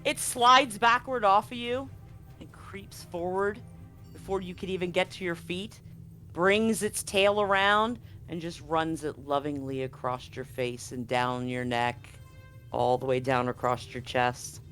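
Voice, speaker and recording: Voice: mid-range voice, unhurried, sharp tone. Casual sweary game master, music behind. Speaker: game master of a tabletop roleplaying game. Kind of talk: live tabletop game, casual narration and rules talk.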